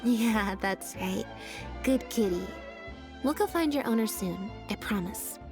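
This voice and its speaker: A woman voicing a laid-back, deadpan young stage magician's assistant in a video game, speaking in an unhurried, matter-of-fact way. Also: a little high. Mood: gentle. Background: game background music.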